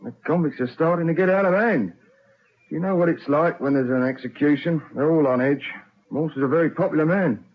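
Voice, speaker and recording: An actor playing a tough, blunt prison warder in a vintage radio drama. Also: male voice, a little high, brisk, lightly acted. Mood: anxious, respectful. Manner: blunt, clipped, deferential to superiors. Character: gruff, tough male prison warder, contemptuous of weakness. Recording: dull old radio recording, crowd clamour.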